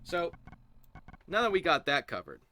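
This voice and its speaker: A man's voice: fairly high pitch, huge pitch swings, normal speed, pushed and bright. A man giving casual, explanatory game commentary in an informal, emphatic manner, as host of a roleplay series.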